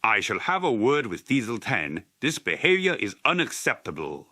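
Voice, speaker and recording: A man's voice: medium pitch, huge pitch swings, normal speed, very noisy. A man playing a stern, formal, commanding railway controller in a cartoon dub. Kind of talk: comic dub character acting.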